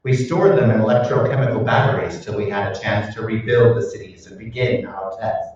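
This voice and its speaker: A man playing a cold, calculating scientist in an old-time radio drama, deliberate, matter-of-fact and gloating. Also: fairly low pitch, darkish tone, lightly acted. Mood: cold, explanatory. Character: cold, calculating male mad scientist, smugly explaining. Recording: echoey hall, distant mic, muffled.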